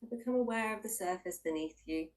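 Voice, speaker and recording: A woman leading a guided body scan meditation, giving gentle instructions in unhurried, invitational phrases with long pauses. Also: medium pitch, recorded from a distance.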